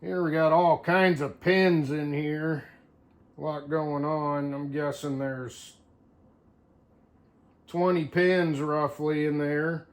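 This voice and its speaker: Man, moderately high pitch, casual demonstrator, informal, rambling, thinking aloud. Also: level tone, slow pace, long pauses. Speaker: video host. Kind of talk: casual hands-on show-and-tell.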